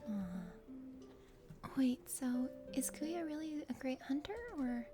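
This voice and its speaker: A woman's voice: sits high, animated, slow. A woman whispering as she reads a visual novel game aloud in ASMR style. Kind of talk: whispered ASMR narration and reading of game dialogue. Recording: music behind the voice.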